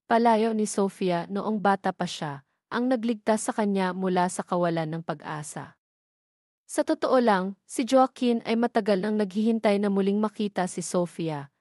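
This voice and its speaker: A woman narrating a romantic drama at a steady, even storytelling pace. Her voice sits at a middle pitch. It is recorded close and completely dry, with no room sound. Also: no echo. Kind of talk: scripted audio drama narration.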